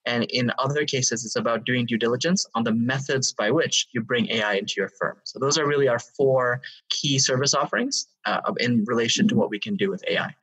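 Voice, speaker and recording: Guy in his 30s from hong kong, explains things step by step with lots of uhs, husky, a bit far from the mic. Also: medium pitch, fairly bright tone. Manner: measured, explanatory, with frequent fillers. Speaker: guest, AI consultant and lecturer. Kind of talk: interview answers.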